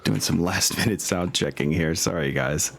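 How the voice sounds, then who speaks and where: A man with a deep voice acts as game master in casual chat. He talks informally, with slangy turns of phrase and an apologetic streak, as he gets things set up. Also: normal speed, vocal fry. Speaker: game master and host of a tabletop campaign. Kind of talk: casual chat while setting up an online game. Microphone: online voice chat.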